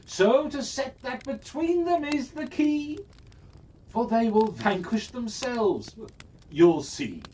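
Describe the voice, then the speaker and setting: Very slow rhyming fairy-tale storyteller. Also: mid-range voice, lively intonation, mellow. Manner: measured verse, sing-song cadence. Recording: distant mic, faint hiss.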